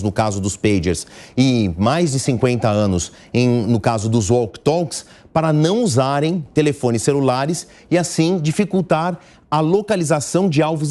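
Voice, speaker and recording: A man's voice: medium pitch, a little mellow. A man with a Brazilian accent explaining the news live on television in a didactic, step-by-step way, stressing key words. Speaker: news commentator.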